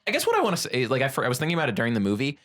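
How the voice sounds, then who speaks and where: Animated man chatting with lively, swinging pitch. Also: rapid-fire. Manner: animated, rambling, peppers talk with "like". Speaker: podcast co-host. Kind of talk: casual film-discussion chat.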